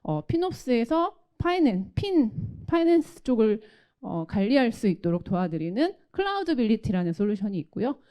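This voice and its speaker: A woman presents technical solutions to an audience in polite, formal speech. Her voice sits a little high yet stays soft and mellow. Her intonation moves widely as she explains each point. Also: sing-song, fairly quick.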